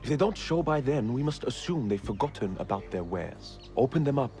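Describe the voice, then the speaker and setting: Callous dock boss, mid-pitched, curt, commanding, businesslike, video game drama, faint background music. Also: male voice, big pitch swings, fairly quick, mellow.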